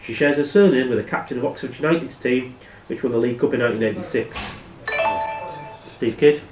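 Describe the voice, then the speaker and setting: A man hosting a quiz as quizmaster, reading questions aloud in a plain, matter-of-fact way and giving brisk rulings. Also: medium pitch, a little mellow, a little hiss or hum. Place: live in a pub. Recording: echoey room, distant microphone.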